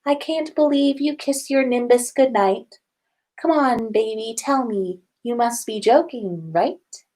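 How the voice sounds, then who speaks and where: A woman's voice: fairly high pitch, a little slow, darkish tone. A woman voicing a dreamy teenage witch girl who teases as she recites a song in a story reading. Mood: dreamy teasing. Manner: dreamy, teasing.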